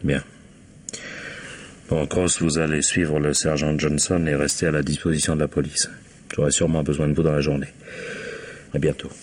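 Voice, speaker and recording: An actor playing a sardonic, dogged police inspector in a French radio mystery, prodding with irony and drawn-out interjections. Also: male voice, rumbling low, little pitch movement. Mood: wry, musing. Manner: ironic, prodding, drawling interjections. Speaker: actor in a radio crime drama.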